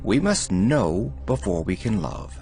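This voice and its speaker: A man reading devotional letters aloud as an audiobook narrator, in a measured, solemn manner. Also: sits low, huge pitch swings, creaky, darkish tone, faint music behind. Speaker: audiobook reader.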